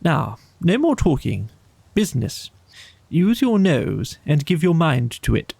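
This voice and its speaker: A man acts out a kindly, decisive water rat from a storybook. He sounds hearty and cheerfully bossy, pitched above a plain narrating voice, in a dry close recording. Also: big pitch swings, measured pace, creaky, darkish tone, slightly rough, dry recording, heavily acted. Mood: brisk focus.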